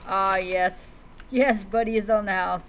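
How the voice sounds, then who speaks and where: A woman streamer role-playing in character as a dragon rider in a game, speaking haltingly and repeating words. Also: mid-range voice, steady tone, a bit bright, a little hiss or hum, rough recording. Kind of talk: in-character game roleplay storytelling, talking to pet creatures.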